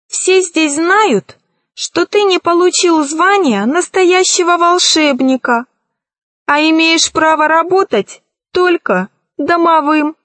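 Storybook henchman: gleefully cruel, mocking male villain, played by a woman, very high register. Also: slow pace, fairly bright tone, no room sound, heavily acted. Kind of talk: children's storybook reading.

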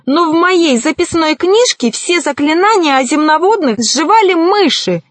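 A woman's voice: very high voice, expressive, normal speed, dry recording, pitched above the performer's own voice. A woman voicing a cowardly, pompous male sorcerer, plaintive and trembling, in a children's storybook. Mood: pleading. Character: whiny, cowardly, easily offended male sorcerer.

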